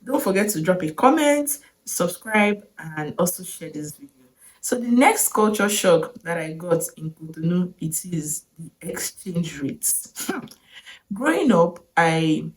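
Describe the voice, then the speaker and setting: A young woman with a Nigerian accent talks through her travel story in a relaxed vlog. Her voice sits a little low for a woman. She muses aloud, asks herself questions and laughs briefly now and then. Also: measured pace, poor audio. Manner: chatty, wondering aloud, with short laughs. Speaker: vlogger.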